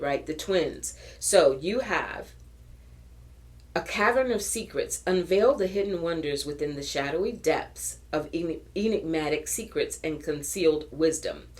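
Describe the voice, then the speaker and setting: A low-pitched woman reads tarot cards in an earnest, encouraging way. Her voice carries a slight early-morning rasp, and she often restarts her phrases as she reaches for spiritual wording. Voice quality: raspy. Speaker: tarot reader. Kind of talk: pick-a-card tarot reading.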